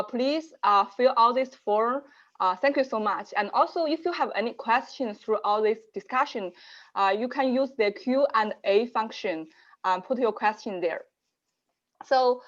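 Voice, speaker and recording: A woman who manages global programs, hosting a virtual information session and walking through resources in a measured way with frequent fillers. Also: fairly high pitch, lo-fi sound. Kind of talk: online info session presentation. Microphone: video call.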